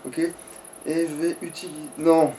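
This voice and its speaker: High-voiced man, distant and noisy mic. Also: fairly even intonation, moderate pace, dark tone. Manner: slangy, mildly crude. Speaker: let's play gamer. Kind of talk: casual gaming chat while playing. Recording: distant mic with clear background noise.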